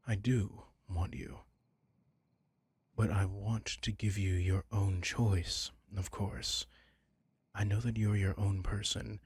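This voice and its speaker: A man playing a soft-hearted but possessive young mafia heir in an intimate ASMR roleplay, speaking gently and haltingly in a husky, breathy voice. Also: deep voice, exaggerated voice. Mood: tender, reluctant. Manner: halting, gentle, apologetic, slipping into whispers. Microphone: close, dry microphone.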